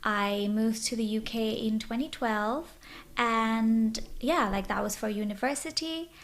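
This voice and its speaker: A woman's voice: on the high side, a little slow. A woman in her twenties from Bangladesh, a podcast guest telling her story in a bubbly, open way. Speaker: guest, social media influencer. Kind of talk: casual interview chat.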